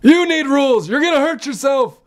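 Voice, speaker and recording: A man's voice: very high voice, expressive, normal speed. A young man playing an exasperated old grandpa, theatrical and admonishing, telling a bedtime story in a comedy sketch.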